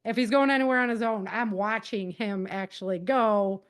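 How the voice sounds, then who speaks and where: A woman's voice: mid-range voice, moderate pace, clean tone. A middle-aged woman speaking as an interview guest, giving conversational advice emphatically, repeating key points and acting out example dialogue. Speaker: podcast guest, author and self-defense advocate.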